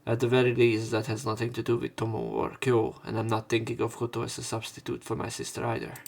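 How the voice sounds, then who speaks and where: A man's voice: medium pitch, completely flat delivery, fast talker, vocal fry, darkish tone. A man narrating a visual novel as a let's play, reading the story text aloud.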